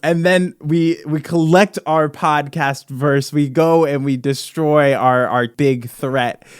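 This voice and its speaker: A man hosting a podcast, brainstorming casually and excitably with his co-hosts, swearing now and then. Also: fairly high pitch, slow pace, smooth, no room sound, clean studio sound. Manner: excitable, profane, frequent "dude" and "right?". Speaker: podcast host.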